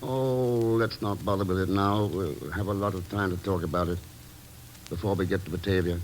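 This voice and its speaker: An actor playing a terse adventurer, matter-of-fact and dryly polite, in an old radio drama. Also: male voice, low-pitched, fairly even intonation, normal speed, lightly acted, low-quality recording. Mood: casual. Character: cool, terse American adventurer investigator. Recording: vintage radio recording, faint music.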